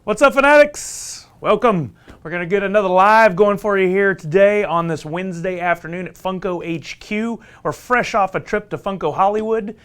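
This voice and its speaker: A man's voice: high voice, moderate pace, fairly bright tone, clear, resonant tone. A man with a Southern US accent hosting a casual company livestream, upbeat and joking with his viewers. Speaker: livestream host from a marketing team. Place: company headquarters studio.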